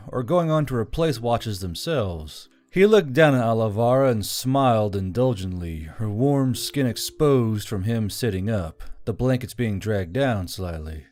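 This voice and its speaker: A man's voice: mid-range voice, darkish tone. A man reading scripted fiction aloud as an audiobook narrator.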